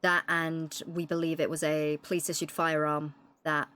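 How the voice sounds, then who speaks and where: A woman with a fairly low voice talks in character as a police chief in her thirties. She swears easily and sounds worried as she works through the case out loud. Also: little pitch movement, normal speed, clear, resonant tone. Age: 30s. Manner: swears freely, worried and explaining at length. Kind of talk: in-character roleplay chat.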